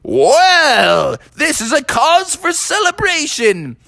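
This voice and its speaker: An old, wise rhinoceros from a kids' story, acted out by a man. He talks like a quaint, jolly old-timer. The voice is pushed up high and swings about merrily. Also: wildly swooping pitch, slow, gravelly, sharp tone, dry recording, clean studio sound, full character voice. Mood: jolly, proud.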